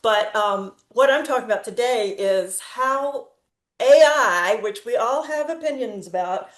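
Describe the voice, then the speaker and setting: A woman in her fifties giving a presentation on a video call, pausing often with fillers and restarts. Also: fairly high pitch, animated. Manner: speaks slowly with frequent fillers and restarts. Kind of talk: conference talk. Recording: roomy echo.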